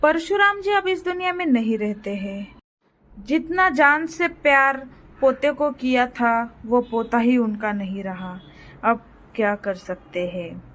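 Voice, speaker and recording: A woman's voice: fairly high pitch, slow, fairly bright tone. A woman storyteller giving scripted narration for a moral cartoon story.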